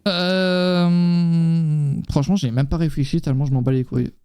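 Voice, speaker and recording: A man's voice: on the high side, little pitch movement, normal speed, dark tone, clean tone. A man co-hosting a casual live-stream chat, talking in an informal, slangy way with casual swearing. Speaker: wrestling talk show co-host.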